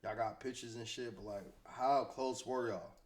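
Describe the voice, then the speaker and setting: A man's voice: mid-range voice, fairly even intonation, normal speed, a little hiss or hum. American man giving slangy commentary. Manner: slangy, conversational, repeats himself. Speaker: host commentating on hip-hop news. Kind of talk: casual off-the-cuff commentary.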